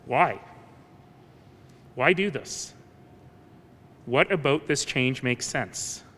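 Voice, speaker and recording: A man giving an argumentative public speech as a civic official, deliberate and pointed, with emphatic pauses. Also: expressive, sharp tone. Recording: echoing hall with low rumbling background noise.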